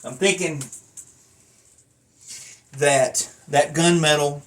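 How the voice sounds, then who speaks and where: A man's voice: on the high side, steady tone, drawn-out words. A man giving a casual, unscripted collector's review, thinking aloud and wandering off into asides. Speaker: collector reviewing a wrestling belt.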